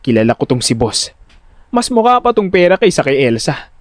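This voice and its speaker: A man narrating a first-person audio drama in an expressive, suspenseful, scripted storytelling style. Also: fairly high pitch, normal speed, dry recording, studio quality.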